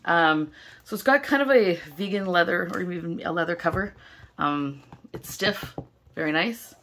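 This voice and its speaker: A young woman with a low, gravelly, hoarse voice, worn thin from losing it. She chats eagerly through an unboxing, full of ums and little asides, close to a camera over her table. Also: normal speed, slight background noise. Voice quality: gravelly, strained. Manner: chatty, many fillers, excited. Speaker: stationery vlogger. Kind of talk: casual unboxing vlog. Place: indoors at a table, filming overhead. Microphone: camera held close over the table.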